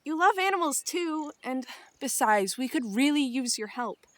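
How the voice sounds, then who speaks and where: Woman playing a high-voiced persuasive girl. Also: expressive, moderate pace, dry recording. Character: busy young cousin girl, friendly and persuasive. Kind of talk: audio drama dialogue.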